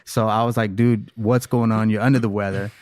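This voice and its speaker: A young man hosting a casual podcast chat in a studio, animated and quick to repeat words as he starts his sentences. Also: on the low side, clear, resonant tone, no room sound. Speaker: podcast host.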